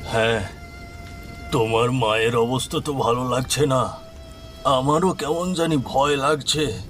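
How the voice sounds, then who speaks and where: A man's voice: medium pitch, fairly even intonation, measured pace, fairly bright tone, soft background music, natural voice. A man playing a worried village father in a horror cartoon, speaking with a heavy, anxious manner. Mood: worried, sorrowful. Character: worried, troubled village father, middle-aged or older.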